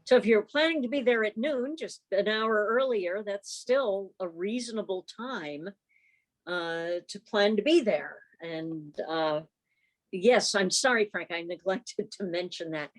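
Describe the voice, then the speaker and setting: A woman's voice: medium pitch, unhurried. A woman chairing an informal club meeting over a video call, chatty and rambling with wry asides.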